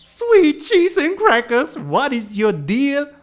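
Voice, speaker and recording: A man's voice: very high-pitched, huge pitch swings, slow, full character voice. A man voicing a hapless, bewildered teenage student in a comic dub, hesitant and exasperated, on a noisy home microphone. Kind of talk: animated comic-dub voice acting.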